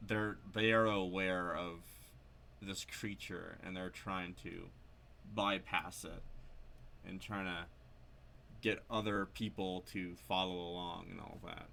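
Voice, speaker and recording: A man's voice: deep voice, steady tone, slow pace, creaky, pushed and bright, slight background noise. A man giving casual, unscripted game commentary, hesitant and rambling as he thinks aloud. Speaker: video creator commenting on a horror game.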